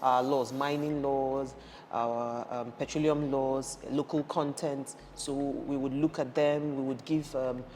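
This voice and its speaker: A woman's voice: very deep voice, fairly even intonation, takes it slowly, a little mellow. A woman in her thirties from Ghana, raised in Nigeria, telling her career story as an interview guest, with lots of restarts and fillers. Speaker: guest, energy industry professional. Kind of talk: conversational interview. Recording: reverberant room with faint noise.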